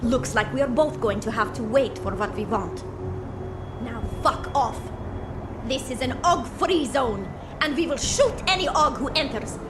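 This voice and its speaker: High-voiced, hostile guard. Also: female voice, background noise. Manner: curt, contemptuous, commanding. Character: hostile, menacing female street guard. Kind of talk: video game cutscene acting. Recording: loud music behind. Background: music.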